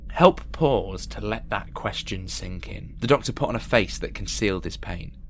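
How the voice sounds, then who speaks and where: A man's voice: fairly low pitch, expressive, moderate pace, slight background noise. A man reading scripted narration as the narrator of an audio drama.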